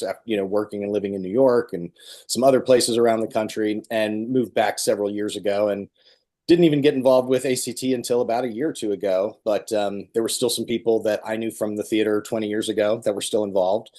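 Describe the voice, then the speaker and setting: A man's voice: fairly low pitch, darkish tone. A man chatting casually as an interview guest on a video call. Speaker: interview guest, stage actor. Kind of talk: casual chat.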